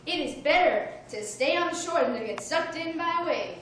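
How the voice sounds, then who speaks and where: A woman from Mexico delivers a wry, self-mocking stage monologue in a fairly high voice. She is caught from far off by a room mic, with heavy echo and clear noise around her, like a live theater recording. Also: animated, brisk, fairly bright tone. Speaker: solo stage performer. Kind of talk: one-woman comedy show monologue.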